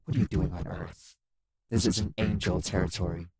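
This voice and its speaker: A man's voice: sits low, fairly even intonation, normal speed, creaky, dark tone, breathy edge, no echo, exaggerated voice. A man playing a brash, menacing demon biker who taunts, threatens and swears in an audio roleplay. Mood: confrontational. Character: brash, menacing male demon biker, sarcastic and foul-mouthed.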